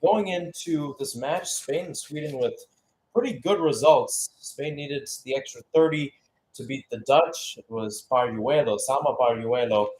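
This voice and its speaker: A man's voice: mid-range voice, darkish tone, slight background noise, low-quality recording. A man giving live radio-style play-by-play commentary on a football match, announcer-like and speaking in short phrases.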